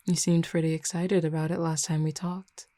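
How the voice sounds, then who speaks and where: A woman playing a flirty, easygoing female werewolf in a roleplay audio drama, relaxed, confident and teasing in an intimate, unhurried conversation. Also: low voice, no room sound, natural voice. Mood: relaxed, attentive.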